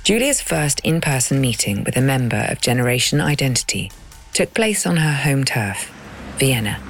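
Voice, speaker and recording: A woman narrating and hosting a documentary podcast in a crisp, dramatic storytelling manner. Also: rumbling low, sing-song, moderate pace, faint music behind. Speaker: narrator and host.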